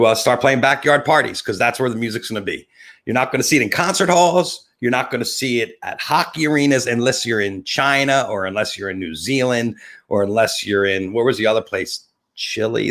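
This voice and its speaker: A man's voice: lowish voice, a bit bright. Wisecracking man with a New Jersey accent. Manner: wisecracking, tongue-in-cheek. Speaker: live webcast host. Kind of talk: improvised, rambling show intro.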